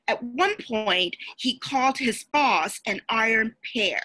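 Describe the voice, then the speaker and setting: Bright, husky woman lecturing on a video call. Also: fairly high pitch, slow pace, poor audio. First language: Cantonese. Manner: explanatory, steady, instructive. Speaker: lawyer and presenter. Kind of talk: webinar lecture. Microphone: video call webcam.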